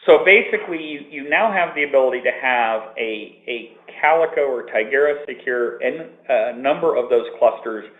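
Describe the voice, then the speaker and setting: A man's voice: mid-range voice, expressive, moderate pace, hardly any pauses. Man explaining over a tinny phone line. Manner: loud, explanatory, with fillers and restarts. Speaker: webinar presenter. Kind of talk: technical webinar presentation. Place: office. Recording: tinny phone-line audio with room echo.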